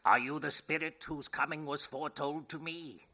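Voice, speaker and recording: A man's voice: fairly high pitch, steady tone, normal speed, pushed and bright, slight background noise. An actor playing a skeptical, miserly, easily frightened old businessman in a theatrical 1930s radio play style. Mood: uneasy. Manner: theatrical, querulous.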